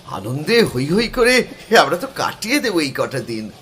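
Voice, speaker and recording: A man voicing a lively young storyteller. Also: on the high side, moderate pace, heavy background noise. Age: young adult. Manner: expressive, storytelling, literary. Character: cheerful young male art student narrating. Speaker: narrator and art student. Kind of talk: dramatic audio-drama narration.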